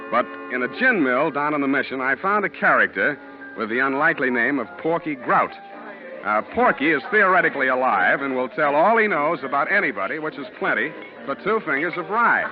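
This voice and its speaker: An actor playing a wry, street-wise American private detective, deadpan and wisecracking, in 1940s radio style. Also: male voice, medium pitch, close to an everyday voice. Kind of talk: 1940s radio acting, narration and dialogue. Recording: muffled 1940s radio recording, music behind.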